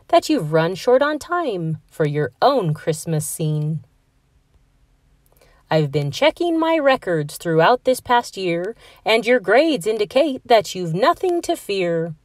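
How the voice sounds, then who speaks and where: A woman's voice: medium pitch, no room sound, studio quality. A woman voicing a jolly, generous old Santa Claus in a children's storybook, kindly and reassuring as he takes charge. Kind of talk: children's storybook read-aloud.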